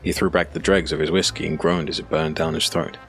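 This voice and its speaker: Very deep, gravelly male narrator. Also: level tone, a little fast, fairly bright tone, faint music behind. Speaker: audiobook narrator. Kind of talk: scripted dark fantasy narration.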